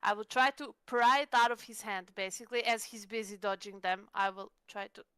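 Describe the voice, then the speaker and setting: Female tabletop player, bright and clear, casual chat, very dry sound. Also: mid-range voice, steady tone, a little fast, no echo.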